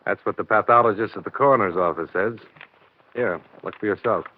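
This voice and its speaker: A man's voice: on the low side, brisk, lightly acted. An actor playing a cool, persistent male insurance investigator, dry and matter-of-fact, in an old American detective radio drama. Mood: probing. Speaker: lead and narrator. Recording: muffled, noisy old radio recording.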